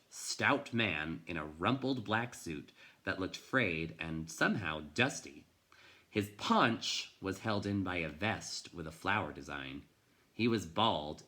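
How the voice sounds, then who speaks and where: A man narrates a children's novel aloud in measured phrases. His voice is fairly low and bright, with very lively, expressive intonation that suits a classroom read-aloud. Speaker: teacher reading aloud.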